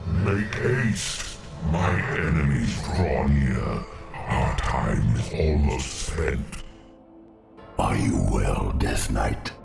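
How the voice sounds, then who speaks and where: Cavernous gravelly ancient undead spider king. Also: very deep voice, expressive, exaggerated voice. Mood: urgent, concerned. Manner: slow, solemn, formal. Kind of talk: fantasy video game cutscene acting. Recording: echoing voice over loud music.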